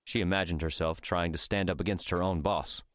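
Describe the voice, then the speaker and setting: A man's voice: sits low, fairly even intonation, brisk, no echo, studio quality. A man narrating a dramatic fiction audiobook from a script.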